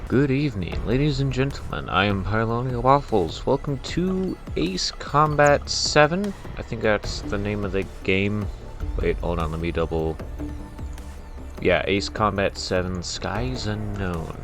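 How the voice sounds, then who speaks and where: A man's voice: fairly low pitch, animated, measured pace, faint music behind. A man giving casual, rambling gameplay commentary as the laid-back host of a gaming video. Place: voice-over with game music behind.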